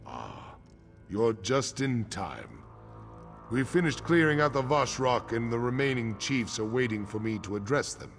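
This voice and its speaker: A man playing a weary, solemn orc chief in a fantasy game, speaking gravely in a deep, gravelly voice. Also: sing-song, measured pace, soft background music. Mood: measured, resolute.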